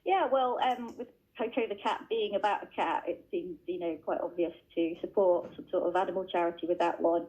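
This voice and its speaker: A woman speaking in a fairly low voice answers a radio host's questions from home. She chats easily, with many fillers and self-corrections. Her voice arrives over a dull, lo-fi remote line. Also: fairly quick, few pauses, darkish tone. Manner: chatty, many fillers and restarts. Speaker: children's author guest. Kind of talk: casual interview answers. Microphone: remote line into radio studio.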